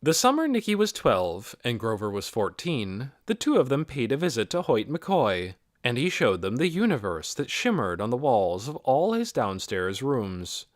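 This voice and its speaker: A man reading a story aloud as an audiobook narrator, in an expressive, measured way. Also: medium pitch, dry recording, studio quality. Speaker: audiobook reader.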